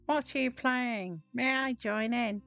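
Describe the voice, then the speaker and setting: Woman as an earnest, slow-talking patchwork cat. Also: on the high side, gravelly, fairly bright tone, clear, resonant tone, dry recording, muffled recording, soft background music, pitched above the performer's own voice, exaggerated voice. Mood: eager. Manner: pleading, earnest. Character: eager, lonely, hapless male patchwork cat.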